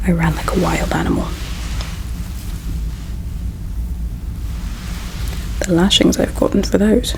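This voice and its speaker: A woman voicing a gentle, melancholy alien palace servant in an ASMR roleplay, speaking in a hushed, halting, confiding way. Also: on the low side, fairly even intonation, vocal fry, a little hiss or hum, low-quality recording. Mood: wistful, confiding. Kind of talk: ASMR roleplay, soft whispering.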